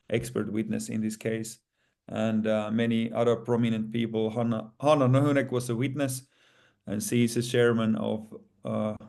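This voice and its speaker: A man with a Finnish accent, a native Finnish speaker, talking as a guest in an interview in a halting way with many fillers. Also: medium pitch, gravelly, dark tone. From Finland. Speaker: plaintiff in a lawsuit, guest. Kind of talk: casual interview talk.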